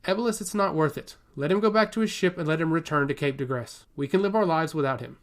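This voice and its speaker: A narrator reading a calm, focused noblewoman in a fantasy tale. She reasons in a measured, cautious way at medium pitch. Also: lightly acted. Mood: calm concern.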